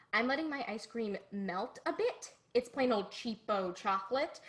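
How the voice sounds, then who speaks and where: A woman's voice: on the high side, pushed and bright, a little hiss or hum. A woman playing a naive, sheltered young girl in an online play, chatting earnestly as if hosting her own cooking video. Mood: eager, chatty. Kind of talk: dramatic monologue as online cooking show.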